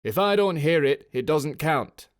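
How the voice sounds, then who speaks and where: A man's voice: fairly high pitch, expressive, unhurried, voice pushed up. A man voicing a haughty, impatient male military officer in an audiobook, with a pompous, commanding, sarcastic manner. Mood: shouting, exasperated. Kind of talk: dramatic audiobook character voice.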